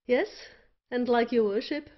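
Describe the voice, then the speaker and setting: A woman's voice: a little high, a little slow, lightly acted. A woman voicing an eager, deferential fiddler in an audio play of a period comedy. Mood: eager, obliging. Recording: dull, lo-fi audio.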